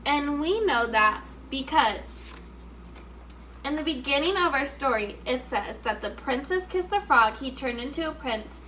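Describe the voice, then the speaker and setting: Bright-voiced woman teacher reading aloud to children. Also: high-pitched, a little slow, slight background noise. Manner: expressive, instructive, repeats questions for pupils. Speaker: first grade teacher.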